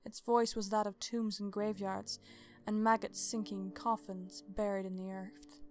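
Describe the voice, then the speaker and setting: A woman narrating a story as host, in an expressive, measured, dramatic storybook style. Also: medium pitch, music in the background.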